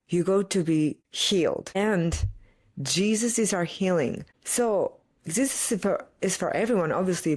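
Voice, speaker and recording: A podcast host from Greece, a native Greek speaker with a Greek accent, talking earnestly in a casual solo confessional, firing off rhetorical questions and filling pauses with "like". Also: mid-range voice, a little mellow.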